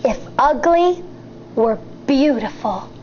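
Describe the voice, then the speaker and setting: An American woman in her thirties, a teacher reading a picture book aloud to children in an expressive, deliberate way. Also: high voice, muffled recording, slight background noise. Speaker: elementary school teacher.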